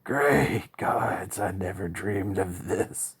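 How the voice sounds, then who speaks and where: A man's voice: deep voice, takes it slowly, slightly rough, full character voice. A man voicing a terrified man trapped underground, pleading in a panicked, breathless rush. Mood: awestruck dread.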